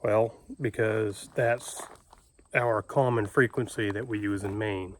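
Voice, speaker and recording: Male how-to host, lowish voice, plain-spoken and folksy, informal step-by-step delivery. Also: fairly even intonation, slow, slight background noise. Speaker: homestead video host and ham radio operator. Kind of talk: casual how-to explanation.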